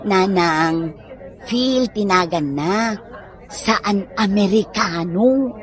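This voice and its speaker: A woman's voice: mid-range voice, very slow. A woman with an Ilocano accent playing a placating, busy adult daughter in a comedy drama.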